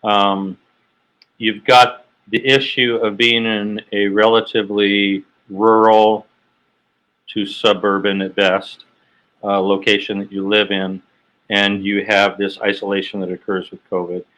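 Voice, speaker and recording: American male podcast host, lower voice with a bright edge, rambling questions. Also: level tone, measured pace, low-quality recording. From United States. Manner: talkative, asks long winding questions. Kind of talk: podcast interview.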